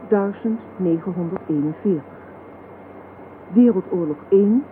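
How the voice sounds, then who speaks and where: Ernstige vertelster met lage stem. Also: female voice, on the low side. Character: grave female narrator of a war satire. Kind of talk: scripted radio drama narration. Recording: tinny old radio recording, faint hiss.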